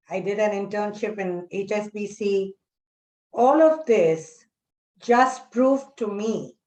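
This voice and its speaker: A woman introducing herself as a panelist, speaking in measured short phrases with pauses. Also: mid-range voice. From Karachi, Pakistan. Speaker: panelist, recipe curator. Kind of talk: personal introduction at a panel talk. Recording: echoey room sound.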